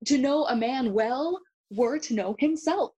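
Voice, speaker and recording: A woman playing a brooding, mocking young Shakespearean prince in an audio play, theatrical and deliberate, moving between English and Spanish. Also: high voice, expressive, darkish tone, breathy edge, full character voice. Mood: mock courteous.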